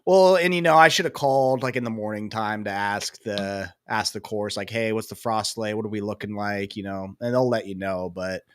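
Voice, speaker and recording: A man's voice: on the low side, a little fast, hardly any pauses, smooth, no echo, clean studio sound. A young man with a head cold, rambling casually as co-host of a sports podcast, full of fillers and self-deprecating asides.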